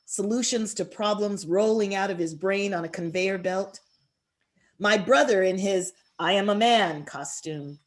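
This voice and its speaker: Slow, deliberate woman poet. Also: medium pitch. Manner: measured, deliberate, expressive delivery. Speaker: author reading her poetry. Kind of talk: poetry reading with introduction.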